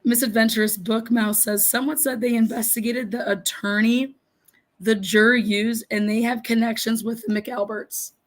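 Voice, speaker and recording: Woman, middle-aged, hoarse raspy voice, blunt profane ranting, livestream host chat. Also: fairly high pitch, fairly even intonation, normal speed. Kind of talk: casual livestream chat reading viewer comments.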